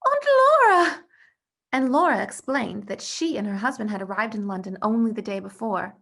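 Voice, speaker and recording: A woman's voice: mid-range voice, a little fast, creaky. A woman reading a storybook narration aloud as the storyteller. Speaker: story reader.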